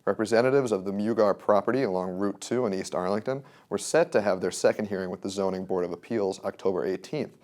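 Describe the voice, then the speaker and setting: American guy doing scripted news report, kinda low voice. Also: a little mellow.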